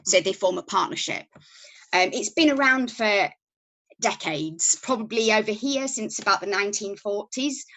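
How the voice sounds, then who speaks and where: A woman's voice: medium pitch, moderate pace, sharp tone, low-quality recording. A woman from Yorkshire with a Yorkshire accent, a podcast guest talking casually, with plenty of ums and restarts. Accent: Yorkshire English. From Yorkshire, England. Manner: chatty, many ums and restarts. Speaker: podcast guest, company founder. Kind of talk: casual interview talk.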